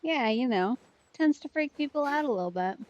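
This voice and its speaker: A woman's voice: sits high, lively intonation, a little fast, a little mellow. A woman giving casual let's play commentary with wry, teasing asides. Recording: slightly dull audio, game music behind.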